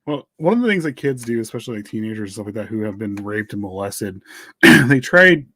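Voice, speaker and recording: A man's voice: fairly low pitch, very animated, a little fast, sharp tone, no echo. A man with an American accent co-hosting a true-crime podcast in a casual, jokey, informal way. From United States. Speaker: podcast co-host.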